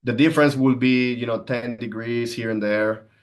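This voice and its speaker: A man's voice: mid-range voice, fairly even intonation, moderate pace. A man, explaining and telling personal stories conversationally as a podcast guest. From Venezuela. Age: 30s. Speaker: podcast guest, entrepreneur and coach.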